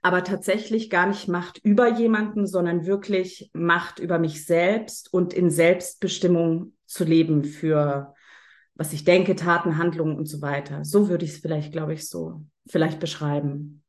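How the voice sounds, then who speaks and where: Relaxed, reflective woman with a lowish voice. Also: measured pace. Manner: relaxed and reflective, quoting her own thoughts. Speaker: participant. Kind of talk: casual group sharing.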